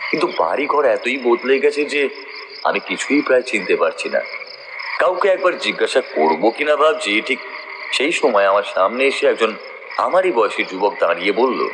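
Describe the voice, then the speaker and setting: A man's voice: medium pitch, sing-song, moderate pace. A man narrating a scripted first-person ghost story as the storyteller. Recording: noisy nature ambience behind voice.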